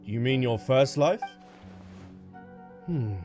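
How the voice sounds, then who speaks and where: A woman's voice: extremely low pitch, lively intonation, slow, over music. A woman giving casual, reactive playthrough commentary, thinking aloud in a tentative way. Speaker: game streamer.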